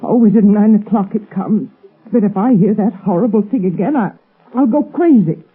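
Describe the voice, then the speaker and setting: An actress playing a frail, frightened middle-aged wife in an old-time radio melodrama, tremulous and pleading. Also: female voice, medium pitch, moderate pace, breathy edge. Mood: pleading, frantic. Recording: tinny old radio recording.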